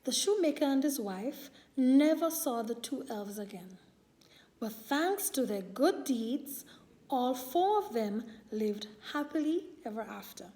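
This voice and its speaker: A woman storyteller reading a picture book aloud to young children in a deliberate, expressive way. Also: a little high.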